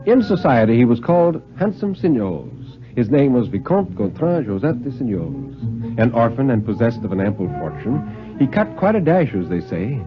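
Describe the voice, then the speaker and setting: A man's voice: medium pitch, big pitch swings, normal speed. A man giving a dramatic reading of thriller stories in the style of 1950s radio narration. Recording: lo-fi 1950s radio recording, music behind.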